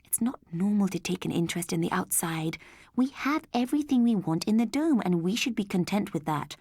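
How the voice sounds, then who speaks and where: A woman's voice: medium pitch, animated, a little fast, darkish tone, slightly rough, no echo, clean studio sound. Woman reading as a prim, emphatic wife. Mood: disapproving. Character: prim, conformist futuristic wife and anxious mother.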